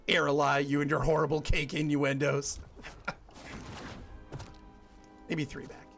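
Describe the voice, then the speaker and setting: A man's voice: fairly high pitch, level tone, creaky, fairly bright tone, soft background music. A man in his forties live-streaming a game, chatting casually with viewers and laughing often. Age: 40s. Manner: chatty, rambling, quick to laugh. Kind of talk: casual live-stream chat while gaming.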